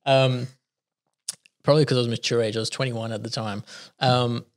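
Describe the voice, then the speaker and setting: A man from South Africa, a native Italian speaker, talks as a podcast guest at an ordinary middle pitch. He tells his stories casually, drifting into side tracks and laughing easily at himself. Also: fairly even intonation. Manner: chatty, digressive, quick to laugh at himself. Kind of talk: casual conversational storytelling.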